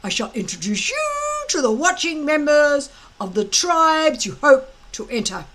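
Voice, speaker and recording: A woman reading an audiobook, voicing a blustering, shouting male Viking teacher. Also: high-pitched, pitched above the performer's own voice, exaggerated voice. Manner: bellowing, gloating, drawn-out. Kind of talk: audiobook character voice.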